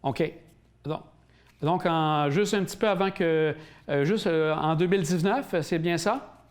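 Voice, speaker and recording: A man's voice: on the high side, unhurried. A man hosting a local TV interview, asking questions with many fillers and hesitations. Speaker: interviewer and host. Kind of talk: community TV interview.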